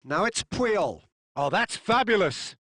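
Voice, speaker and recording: A man's voice: sits high, very animated, slow pace, bright, forceful tone, no echo, full character voice. A man voicing a football video game's play-by-play commentator, with dramatic, punchy phrasing. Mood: engaged, dramatic.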